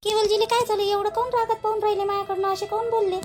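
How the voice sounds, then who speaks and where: A woman's voice: extremely high pitch, flat delivery, quick pace, a little mellow, soft background music. A woman playing an aggrieved, stubborn young village wife in a family cartoon, protesting and questioning in a Vidarbha Marathi accent. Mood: hurt, puzzled. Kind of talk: family drama cartoon dialogue.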